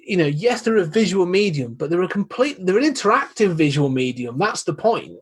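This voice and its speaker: A man talks on a podcast in a loose, animated way, circling back on himself as he builds his point. His voice sits a little high and sounds husky. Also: brisk. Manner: rambling, animated, many restarts. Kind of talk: casual podcast chat.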